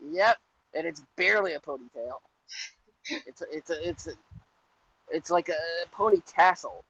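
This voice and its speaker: Gravelly, hesitant male podcast co-host. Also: on the high side, normal speed, a bit bright, dull audio, a little hiss or hum. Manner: hesitant, many restarts, joking. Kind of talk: casual chat.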